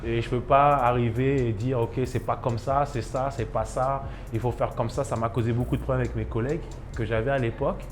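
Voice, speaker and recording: A man's voice: medium pitch, steady tone, a little fast, hardly any pauses, faint music behind. A man in his thirties from Ivory Coast, a chef and restaurant owner, speaking in a documentary interview with many hesitations and restarts.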